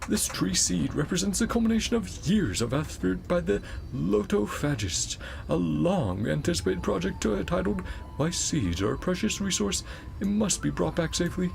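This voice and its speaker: A prim little scholar creature from a game, put on by a man, pitched well up high. It speaks in stilted, formal, precise phrases with pedantic earnestness, over faint music. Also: creaky, darkish tone, exaggerated voice. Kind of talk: reading game dialogue in a put-on voice.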